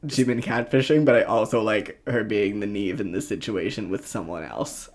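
A man's voice: fairly low pitch, normal speed. A young man co-hosting a podcast in casual comedic banter, rambling and swearing freely. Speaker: podcast co-host.

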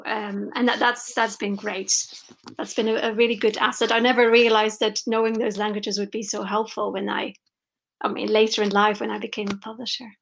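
A woman's voice: medium pitch, level tone, fairly quick, vocal fry, fairly bright tone, slight background noise, low-quality recording. A woman from Belgium, a children's book publisher, talking on a video call with many restarts and frequent laughs. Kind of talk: remote interview.

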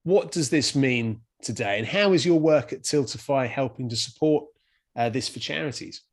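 Man, podcast host, stop-start questions, middle pitch. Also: husky. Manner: halting, self-interrupting questions. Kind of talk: scripted sponsor read, then casual interview.

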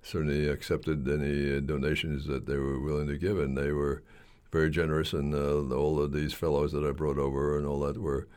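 An older man speaking as an interview guest in a halting, rambling, conversational way, often repeating his phrases. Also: a bass voice, little pitch movement, a little mellow, clear, resonant tone.

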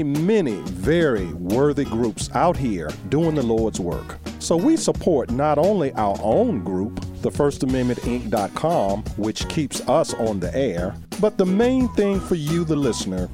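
Excitable male talk radio host. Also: mid-range voice. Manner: excitable, laughs a lot, many fillers. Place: radio studio.

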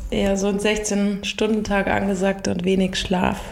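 A woman's voice: medium pitch, level tone, moderate pace, a little mellow. A woman from Bavaria, Germany, chatting playfully as a guest in a casual podcast interview recorded in an office. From Germany, Bavaria (Ingolstadt). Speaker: podcast guest, managing director. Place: office room. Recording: roomy echo, clear background noise.

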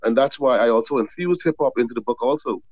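A man from the Bahamas, a guest author, chatting casually in an interview, often repeating words as he tells animated stories. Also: medium pitch, fast, no room sound, muffled recording.

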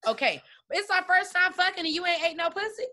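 A woman's voice: extremely high pitch, animated, fast, pushed and bright. A woman in casual chat, talking bluntly and with animation.

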